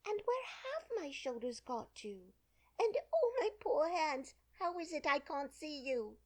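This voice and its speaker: A woman's voice: a little high, sing-song, a little slow, darkish tone, voice pushed up, exaggerated voice. A woman voicing an earnest, polite little girl who grows indignant and flustered. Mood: alarmed.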